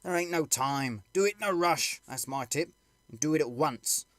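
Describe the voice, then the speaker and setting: Man as a brash, loud, colloquial army sapper. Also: on the high side, expressive, normal speed, no echo, pitched above the performer's own voice. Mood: urgent. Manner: colloquial, emphatic working-class speech. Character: brash, impatient male army sapper, rough and combative.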